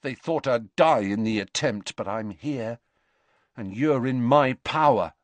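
A man voicing a frightened teenage boy wizard in an audiobook, hesitant and breathy yet defiant. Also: mid-range voice, a little slow, no echo, natural voice. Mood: shaky defiance.

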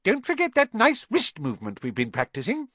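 A man voicing a tiny, elderly male charms professor who squeaks in a fussy, encouraging way. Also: huge pitch swings, brisk, pushed and bright, dry recording, exaggerated voice. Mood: instructive.

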